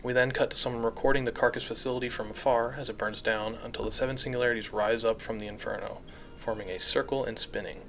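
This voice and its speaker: A man's voice: mid-range voice, fairly even intonation, quick pace. A man giving casual scripted recap commentary as a video essayist, conversational and opinionated.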